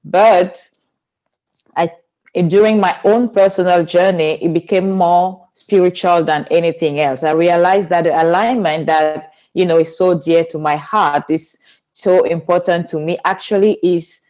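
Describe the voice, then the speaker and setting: A woman's voice: lowish voice, steady tone, a little slow, darkish tone, dull audio. Cameroonian-accented woman, earnest and flowing. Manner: earnest, flowing, non-native phrasing. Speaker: guest, spiritual teacher. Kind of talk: podcast interview.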